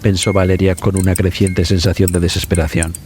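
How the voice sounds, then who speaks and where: A man narrating a scripted suspense horror story for a podcast in a dramatic, measured way. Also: low voice, flat delivery, a little mellow, a little hiss or hum. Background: background music.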